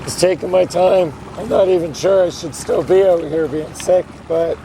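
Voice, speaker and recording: A man with a hoarse, failing voice, chatting casually to viewers while paddling a canoe on a river. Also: high-pitched, fairly even intonation, normal speed. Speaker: vlogger on a solo canoe trip. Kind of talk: casual talk to camera. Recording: outdoor noise of wind and water. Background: wind and water noise.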